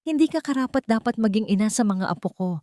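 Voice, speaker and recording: Casting: a woman to play an angry old grandmother in an audio romance drama, pitched high, cutting and accusatory. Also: lively intonation, fast talker, no echo, clean studio sound, natural voice. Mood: angry, scolding. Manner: sharp, scolding. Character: harsh, angry, accusing elderly Filipino grandmother.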